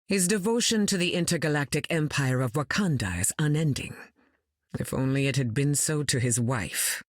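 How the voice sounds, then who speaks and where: A woman's voice: low-pitched, lively intonation, normal speed, dry recording, studio quality. An actress playing a regal, wise female queen in a video game, solemn and wistful.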